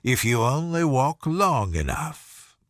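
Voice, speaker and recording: A man voicing a sly, grinning talking cat from a storybook, languid and calmly teasing. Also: mid-range voice, slow, dry recording, studio quality. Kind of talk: storybook character voice.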